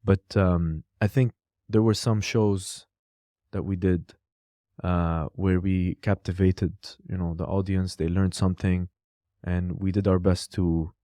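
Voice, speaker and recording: A man's voice: sits low, slow, no echo, studio quality. A man co-hosting a podcast in casual conversation, talking loosely with lots of "like" and "you know". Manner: relaxed, peppered with "like" and "you know". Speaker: podcast co-host and co-founder.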